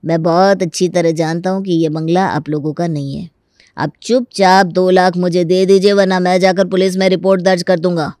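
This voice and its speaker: A man's voice: sits high, steady tone, normal speed, a little mellow, no room sound, studio quality, lightly acted. An actor playing a stubborn, crafty young village man who rents a room, folksy and mock-polite as he argues in a comic story. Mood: firm, threatening. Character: stubborn, crafty young rural male tenant with cattle. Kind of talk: Hindi comic story dramatization.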